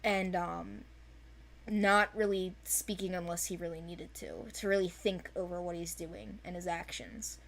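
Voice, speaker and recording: A woman's voice: lowish voice, steady tone, unhurried, vocal fry, a bit bright. Playful, informal woman chatting. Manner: giggly, playful, informal, acting out quotes. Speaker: co-host presenting an animated series project's characters. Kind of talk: casual chat.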